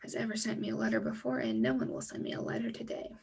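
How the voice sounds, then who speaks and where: A woman's voice: on the high side, flat delivery, fast talker, gravelly, husky, recorded from a distance, full character voice. Toad, a glum, shy toad read by a woman. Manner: gentle storybook read-aloud voice. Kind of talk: reading a children's book aloud.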